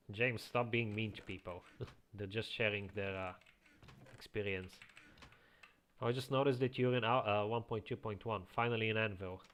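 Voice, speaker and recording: A man with a slight Eastern European accent, chatting casually as a streamer, reading chat aloud and chuckling often into a quiet home microphone. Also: fairly low pitch, steady tone, a little slow, pauses often. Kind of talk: casual live-stream chat while playing.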